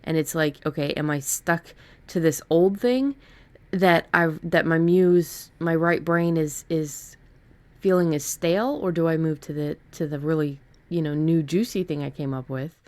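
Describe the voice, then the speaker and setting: A woman with a notably low voice chats casually as a podcast co-host and writer. She talks in a halting way, working out her thoughts aloud. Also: darkish tone. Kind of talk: casual chat about writing.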